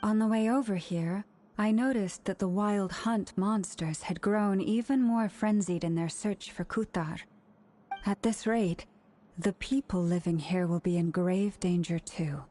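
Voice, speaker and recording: Calm woman leader for a game, female actor: soft-edged mid-pitched voice, serious, measured, music behind. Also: slight background noise, lightly acted. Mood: serious, resolute. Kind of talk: scripted video game dialogue.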